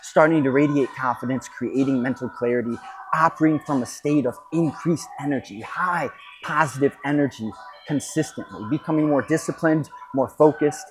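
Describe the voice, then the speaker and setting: A man speaking off the cuff as a podcast host and coach, in an emphatic, halting motivational monologue with pauses and repetition. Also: medium pitch, a little hiss or hum, low-quality recording.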